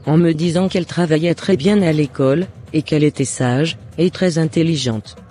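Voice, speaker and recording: A man voicing a jealous, scheming mother and neighbour in an animated moral drama, with deliberate scripted phrasing. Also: a little high, close to an everyday voice. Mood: aggrieved, plotting. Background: faint music.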